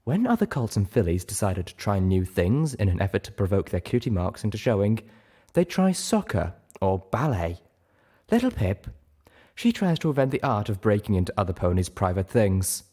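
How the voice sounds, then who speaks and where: A man's voice: fairly low pitch, big pitch swings, normal speed, mellow, slightly rough. A man voicing a refined female pony who teases her friend in an articulate, knowing way, in a story reading. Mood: teasing. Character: Velvet Remedy, teasing refined female pony.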